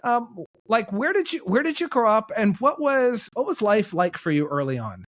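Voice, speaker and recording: A young man hosts the interview in a high, eager voice, piling on compliments and tripping over his words with restarts and fillers. He jokes about his own cluelessness and keeps the talk loose and friendly. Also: big pitch swings, moderate pace, a bit bright. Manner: effusive, self-deprecating, many restarts and "like". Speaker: podcast host. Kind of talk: enthusiastic interview chat.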